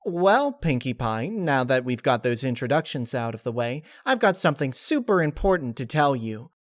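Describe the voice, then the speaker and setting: A man's voice: mid-range voice, big pitch swings, moderate pace, no room sound, studio quality, pitched above the performer's own voice, lightly acted. A man voicing a bubbly, carefree young female pony spirit in a story reading, with perky, gushing delivery. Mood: excited, cheery. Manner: perky, gushing, childlike phrasing.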